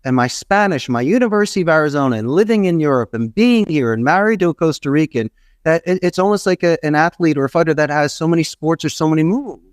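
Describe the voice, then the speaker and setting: A man's voice: fairly high pitch, animated, fast, dry recording, studio quality. Emphatic, rambling middle-aged man. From Philadelphia, US. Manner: emphatic, rambling, folksy advice with repeated phrases. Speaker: podcast guest, call center entrepreneur. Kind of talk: motivational advice in an interview.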